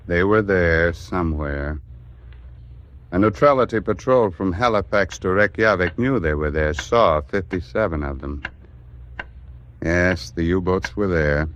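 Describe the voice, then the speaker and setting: A man playing a grave, brooding storyteller in a 1940s radio drama, speaking deliberately with ominous pauses. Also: deep voice, a little hiss or hum. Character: grave, brooding male storyteller of a wartime chronicle. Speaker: narrator.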